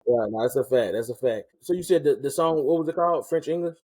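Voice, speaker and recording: A man hosting a casual interview, relaxed and slangy, often restarting his questions. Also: mid-range voice, fast talker, no echo. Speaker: interview host and rapper.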